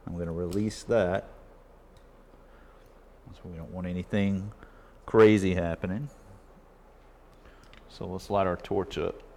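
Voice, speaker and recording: A man's voice: sits low, fairly even intonation, slow, pauses often, a little mellow. A middle-aged man giving a casual, plain-spoken, step-by-step repair tutorial at an indoor workbench. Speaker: repair video host. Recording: clearly noisy workshop recording.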